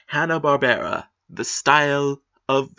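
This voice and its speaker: A mid-pitched man reads an online post aloud and argues with it. He stays matter-of-fact and critical, slipping in sarcastic asides. The audio is muffled and lo-fi. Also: unhurried, creaky, bright, forceful tone. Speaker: commentator. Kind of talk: opinionated commentary on a blog post.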